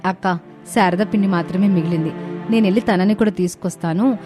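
A woman's voice: mid-range voice, brisk, close to an everyday voice. A woman playing earnest, caring young village sisters in a moral story cartoon, with a dramatic delivery. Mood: concerned, determined. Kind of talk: animated moral story dialogue. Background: background music.

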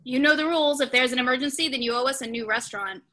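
A woman's voice: high-pitched, rapid-fire, pushed and bright. A woman reading comic roles at a table read, with a brash, bawdy delivery. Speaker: actor reading several roles.

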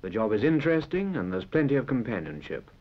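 Animated British man, dull vintage film sound. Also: mid-range voice, fast talker. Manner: direct, conversational appeal to the listener. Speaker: narrator. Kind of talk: 1940s public information film narration.

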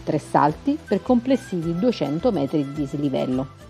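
A woman's voice: low-pitched, very animated, moderate pace. A woman from Italy hosting a TV segment, reading a scripted travel feature. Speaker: TV segment host and narrator. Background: music bed.

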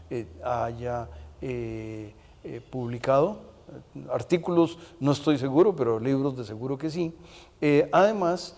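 A man in his sixties hosting a televised interview, speaking in a deliberate way with long hesitations. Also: medium pitch, warm tone. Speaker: interview show host. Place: brick-walled indoor room, television set. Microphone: lapel mic. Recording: reverberant room echo.

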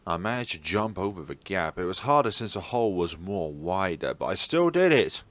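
A man with a fairly low voice narrates a horror game as a let's play host. His pitch moves around a great deal, and he reads theatrically, with wry, playful commentary. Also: sing-song, normal speed, a bit bright, no room sound. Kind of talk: playful gaming commentary and expressive read-aloud of a visual novel.

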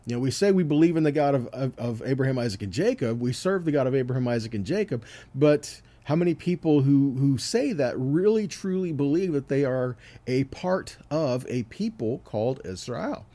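Male Bible teacher for scripture sermon: mellow tone, very animated intonation, explanatory delivery with frequent check-ins. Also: medium pitch, moderate pace. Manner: explanatory, reads verses aloud and checks in with "okay" and "right".

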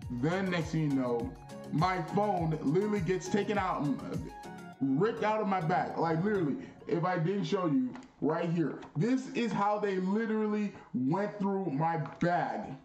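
A young man vlogging in a casual, animated, slangy storytelling way, repeating phrases for emphasis in a small bedroom. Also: high voice, unhurried, roomy sound, faint music behind. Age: young adult.

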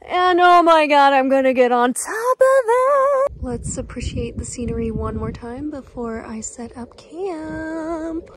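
A woman's voice: sits high, animated, measured pace, a little hiss or hum. A young woman in her twenties, vlogging with a phone at arm's length outdoors, chatty and enthusiastic. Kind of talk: casual vlog narration. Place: outdoors in desert and in a shop.

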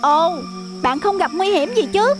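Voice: very high voice, expressive, a little slow, music in the background. An actor voicing a worried cartoon rabbit who questions and frets over a friend. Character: concerned, timid rabbit, a scolding friend. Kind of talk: cartoon dubbing.

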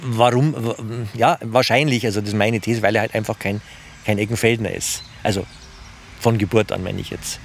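A man speaking spontaneously and haltingly as he is interviewed. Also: on the low side, fairly even intonation, creaky, a little hiss or hum. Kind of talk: hesitant spontaneous interview talk.

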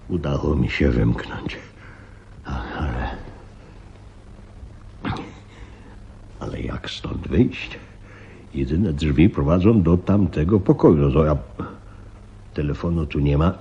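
An actor in a radio play voicing a nervous police chief, speaking in a hesitant, halting way. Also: male voice, rumbling low, warm tone, a little hiss or hum, exaggerated voice. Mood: panicked. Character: nervous police chief briefing his trusted deputy, growing frightened. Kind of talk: Polish radio play acting. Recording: dull, muffled radio drama recording.

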